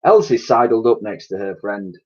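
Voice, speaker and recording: A man's voice: on the low side, animated, moderate pace, darkish tone. A man reading a children's story aloud with expression, on a dull home recording. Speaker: story reader.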